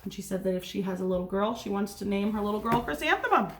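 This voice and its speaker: A woman's voice: mid-range voice, expressive, fast, noisy background. A woman reading a picture book aloud as a teacher, expressively and with dramatic emphasis, stopping to speak directly to the children. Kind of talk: animated children's read-aloud with asides and questions.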